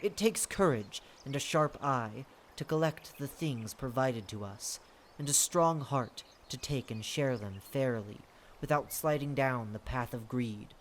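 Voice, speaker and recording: Someone playing a stately huntmaster monarch, noisy recording. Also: close to an everyday voice. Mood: gracious. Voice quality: deep. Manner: formal, measured, stately. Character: commanding, gracious fae monarch, lord of the hunt.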